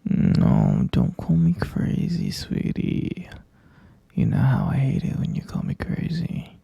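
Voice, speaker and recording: A man playing a possessive, lovesick kidnapper in an intimate ASMR roleplay, coaxing softly in a breathy half-whisper and repeating himself. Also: medium pitch, huge pitch swings, slow, no echo, muffled recording, full character voice. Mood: defensive, lovesick. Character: obsessive lovesick male kidnapper, soothing and possessive yandere.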